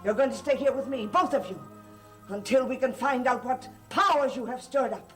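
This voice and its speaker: Soft music plays under an actress as an imperious woman guarding an old family. She is solemn and commanding, questioning others sternly in the grand manner of gothic soap opera. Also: sits high, moderate pace, natural voice. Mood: grave, commanding.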